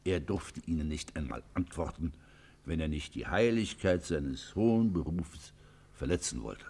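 Deep, stern father confessor. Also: expressive, a little mellow, husky, heavily acted. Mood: grave reproach. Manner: solemn, measured, reproachful. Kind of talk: German radio play, classical literary acting.

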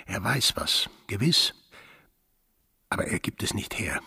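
A gruff sailor in a radio play, with a gravelly edge to his voice. He is brusque and demanding, a suspicious, hard-drinking type. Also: fairly low pitch, very animated, unhurried, exaggerated voice. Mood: brooding. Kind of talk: radio drama acting.

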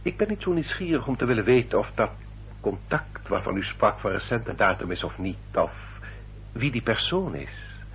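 An actor playing a courtly, insistent gentleman suitor in a radio play, formal and emphatic. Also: on the low side, sing-song, moderate pace. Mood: courteous, insistent. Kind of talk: Dutch radio play acting. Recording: dull, noisy old radio recording.

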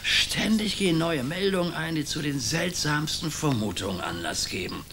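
An actor playing a worried small-town mayor in a radio play, hesitant and ponderous. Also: male voice, fairly high pitch, expressive, normal speed, fairly bright tone, slight background noise. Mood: fretful.

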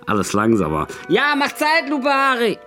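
Highly animated film director. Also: male voice, normal speed, faint music behind, full character voice. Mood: agitated. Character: excitable male film director explaining his craft. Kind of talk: natural radio drama acting.

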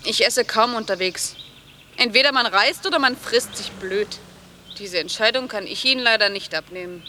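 A woman's voice: medium pitch, normal speed, bright, forceful tone, lightly acted. An actress playing a brash, volatile female hitchhiker in a German radio drama, abrasive and theatrical, swinging between mockery and pleading. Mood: sarcastic. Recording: faint noise, early-1980s radio drama tape.

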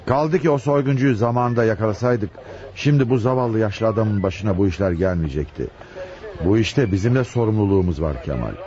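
A male actor playing a resolute, compassionate chief inspector in a radio play, speaking with measured authority. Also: fairly low pitch, dark tone, natural voice. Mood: concerned. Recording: muffled, noisy lo-fi radio drama recording.

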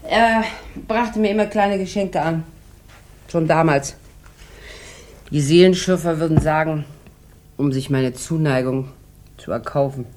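A jaded, hard-drinking woman with a very low voice, in a 1960s German radio crime play. She is sharp-tongued and languid, coating her words in mocking irony. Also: unhurried, pauses often. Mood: wry, reminiscing.

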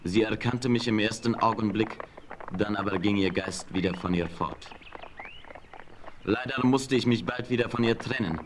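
An actor playing a proud young Comanche chief in a 1970s radio play, calm and measured. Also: male voice, fairly low pitch, background noise, natural voice. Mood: solemn.

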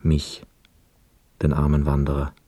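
An actor playing a reflective, weary homecoming soldier in a radio play, with measured, lyrical phrasing. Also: male voice, a bass voice, monotone, dark tone, dry recording. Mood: musing. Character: weary young war returnee, reflective, dreamy wanderer. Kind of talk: literary radio play monologue and dialogue.

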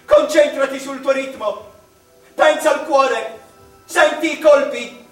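A wistful, anguished mathematician speaks in a high voice, as if to his mother, in a radio drama. The delivery is slow, halting and theatrical. It sounds distant in an echoing space, with music behind. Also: a bit bright, exaggerated voice. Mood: intense, urgent. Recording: echoey room, distant microphone, music behind.

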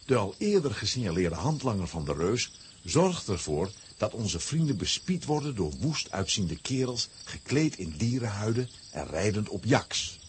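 A man narrating a children's adventure audio play as an expressive storyteller, with a rough, husky voice. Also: fairly low pitch, normal speed, noisy background. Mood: ominous.

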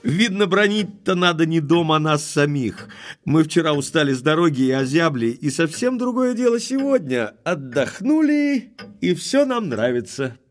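An actor playing a cheerful, frank young aristocratic heir in an audio drama. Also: male voice, on the high side, very animated, normal speed, faint music behind.

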